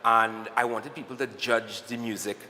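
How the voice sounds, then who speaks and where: A man with a Trinidadian accent, a guest on a morning TV show, telling animated stories and acting out what people said to him. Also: on the low side, moderate pace, in a large hall, a little hiss or hum. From Trinidad and Tobago. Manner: animated storyteller, acts out quotes. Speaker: guest, cyclist and singer. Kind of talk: casual interview chat.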